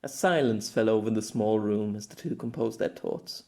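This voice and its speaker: A man reading fiction aloud as an amateur audiobook narrator, in an expressive storytelling style. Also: lowish voice, normal speed, vocal fry.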